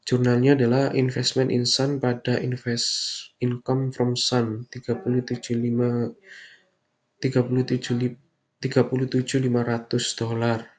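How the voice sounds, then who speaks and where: A young man giving an explanatory slide presentation in a stilted, halting, read-out manner. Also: mid-range voice, completely flat delivery, darkish tone, low-quality recording. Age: young adult. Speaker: university student presenter.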